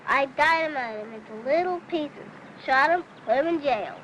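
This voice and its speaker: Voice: high-pitched, animated, moderate pace, fairly bright tone. A young performer playing an eager, boastful little boy in an old film, bossily pretending to be a cowboy. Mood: cheeky, boastful. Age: child. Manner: bossy play-acting, boastful. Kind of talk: 1950s film acting. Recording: noisy old film soundtrack.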